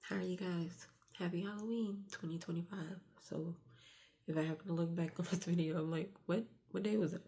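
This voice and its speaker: Stuffy-nosed woman with a low, gravelly voice. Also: steady tone, fairly quick. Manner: hushed early-morning talk, sighs and restarts. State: stuffy nose, getting sick. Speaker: vlogger. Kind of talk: confessional vlog.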